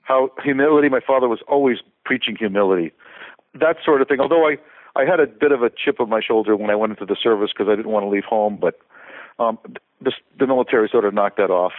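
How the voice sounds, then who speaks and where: A man's voice: lowish voice, quick pace, no room sound. Older man on a tinny line. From Connecticut, USA. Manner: rambling, many restarts and fillers, chuckles. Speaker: guest, conductor and composer. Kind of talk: casual interview conversation.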